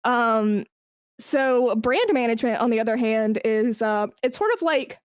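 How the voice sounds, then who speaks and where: A woman's voice: a little high, a little slow, clean tone, no room sound, studio quality. A woman talking informally as an interview guest, explaining things with examples and emphasis. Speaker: esports brand manager, podcast guest. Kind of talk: conversational interview.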